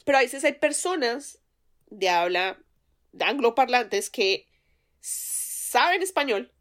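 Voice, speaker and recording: A woman's voice: on the high side, lively intonation, slow, fairly bright tone. A woman from Colombia with a Colombian accent, co-hosting a podcast in casual chat, laughing often and restarting her sentences. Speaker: podcast co-host.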